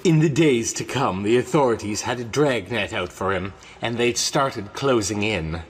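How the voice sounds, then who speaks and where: A man's voice: medium pitch, expressive, moderate pace, breathy edge. A man narrating a horror comic in a grave, dramatic, scripted storytelling style. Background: musical score.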